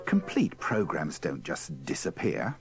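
Deep, gravelly man narrating wryly. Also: wildly swooping pitch, measured pace, over loud music. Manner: dry, tongue-in-cheek. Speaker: presenter of a radio bloopers compilation. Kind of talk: wry scripted narration.

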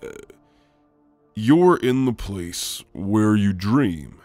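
A man playing a gentle shadowy sleep demon in an ASMR roleplay, speaking softly and haltingly to soothe the listener. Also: on the low side, lively intonation, no room sound, faint music behind. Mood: calm, explaining.

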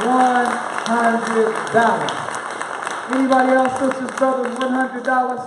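A young man with a very high voice works the crowd in a big echoing hall, calling names and dollar amounts in a rhythmic, preacher-like drive. He speaks, heard from far off over a murmuring audience. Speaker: minister leading a fundraising appeal. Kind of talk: fundraising call from the pulpit. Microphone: handheld microphone recorded from a far camera. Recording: echoey hall audio from far off.

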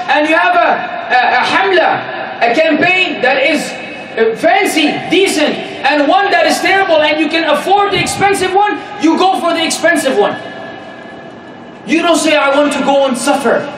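High-pitched, emphatic male preacher in echoey room. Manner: emphatic, exhortatory, with deliberate repetition. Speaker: preacher giving Friday sermon. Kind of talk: sermon. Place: indoor room in a mosque. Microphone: stand microphone in front of speaker. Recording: echoey, noisy room, distant-sounding.

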